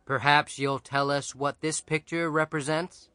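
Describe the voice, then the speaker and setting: Man as middle-aged schoolmaster, calm, measured, questioning, dry studio sound, storybook character. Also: a little high, smooth, dry recording. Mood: probing. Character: calm, probing middle-aged male Latin teacher.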